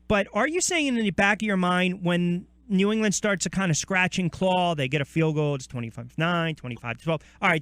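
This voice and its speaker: A man's voice: on the high side, a bit bright, clean tone, dry recording. A man with an American accent hosting a sports radio interview, chatty, with long rambling questions that restart midway.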